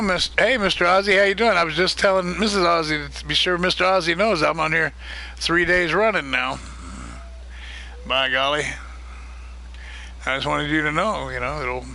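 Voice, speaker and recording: A man hosting a live stream of a multiplayer farming game, chatting casually and greeting viewers by name, his voice getting a little hoarse. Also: on the high side, pushed and bright. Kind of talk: casual live-stream chat while gaming.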